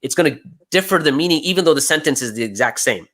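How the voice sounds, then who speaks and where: A man's voice: a little high, lively intonation, fast talker, bright, forceful tone. A young American man talking casually on a live stream, chatty and laughing often, full of "you know" and "right". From United States. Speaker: guest speaker.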